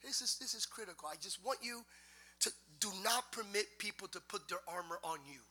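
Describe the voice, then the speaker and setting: High, bright-voiced preacher man. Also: expressive, fairly quick. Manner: builds from quiet talk to loud sung preaching. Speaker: pastor. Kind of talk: sermon. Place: church sanctuary.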